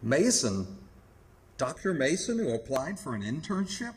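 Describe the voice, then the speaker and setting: An actor playing an earnest, careworn hospital superintendent in radio theatre, halting and emphatic. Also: male voice, a little high, very animated. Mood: startled.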